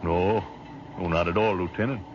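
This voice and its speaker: An actor playing a plainspoken, weary American soldier with an American accent and folksy, thoughtful talk, in old-time radio drama style. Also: male voice, low-pitched, steady tone, moderate pace, natural voice. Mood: earnest. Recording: noisy, muffled old radio recording.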